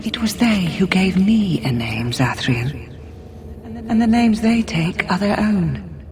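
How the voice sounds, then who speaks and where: Serene, stately forest spirit. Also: female voice, fairly low pitch, big pitch swings, background noise. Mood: calm, challenging. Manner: slow, stately, formal. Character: serene, dignified female forest spirit, ancient lady. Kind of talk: video game voice acting.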